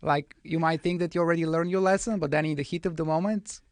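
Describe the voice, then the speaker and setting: A man in his thirties, originally from Bulgaria, speaking as a guest trader in a studio podcast interview with a soft, measured manner. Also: fairly high pitch, fairly even intonation, no room sound.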